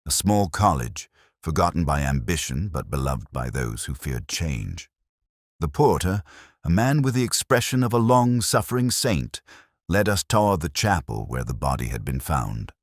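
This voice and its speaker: Very deep, brooding detective narrator played by a man. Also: big pitch swings, dry recording, studio quality, exaggerated voice. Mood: weary, reflective. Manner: measured, literary, wry and brooding. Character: weary, sharp-minded, melancholy older male police detective. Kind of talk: scripted first-person detective narration.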